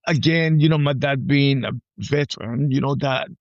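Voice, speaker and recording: A man's voice: mid-range voice, dry recording, highs cut off. A man in his forties from Puerto Rico, chatting casually as a guest and often repeating words. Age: 40s. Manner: halting, repeats words, often checks with "right?". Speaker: podcast guest, army veteran. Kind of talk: casual personal conversation.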